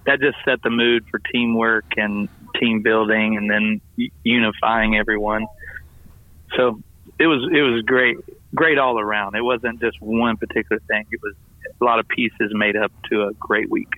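A slightly hoarse man calls in over a tinny, narrow phone line. He is a pastor reporting on his week with upbeat enthusiasm. He rambles warmly through a lot of "um"s, and the sound is dry and close. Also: fairly low pitch, fairly even intonation, moderate pace, dry recording. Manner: upbeat, enthusiastic storytelling with fillers. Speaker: family ministries pastor. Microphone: phone call.

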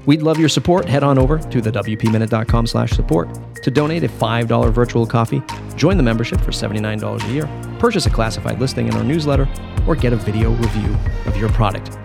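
Gravelly man reading a podcast promo. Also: mid-range voice, fast talker. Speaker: podcast announcer. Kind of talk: scripted promo read. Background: music bed.

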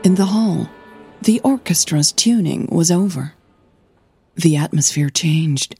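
A woman narrating scripted fiction in a measured, intimate storytelling manner. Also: on the low side, animated, mellow, breathy edge, faint music behind. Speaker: audio drama narrator.

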